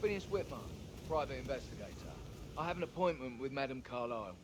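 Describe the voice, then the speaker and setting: A man's voice: medium pitch, expressive, quick pace, heavy background noise, lightly acted. An actor playing a businesslike male minor character in a game. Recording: loud music over game dialogue.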